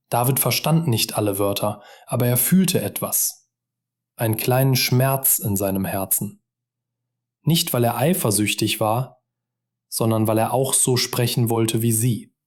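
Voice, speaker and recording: A man narrating a simple story for German learners, speaking directly and encouragingly to the listener. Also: medium pitch.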